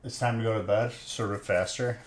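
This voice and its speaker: A man's voice: fairly low pitch, steady tone, normal speed, a little hiss or hum. A man in his thirties hosting a live stream, chatting casually and jokingly teasing his viewers while he opens card packs. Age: 30s. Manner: teasing, joking banter with chat.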